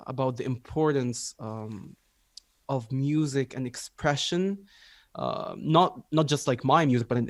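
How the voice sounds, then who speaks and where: A man's voice: medium pitch, no room sound. A young man from Libya, a musician, talking reflectively in a casual interview with many filler words. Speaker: musician guest.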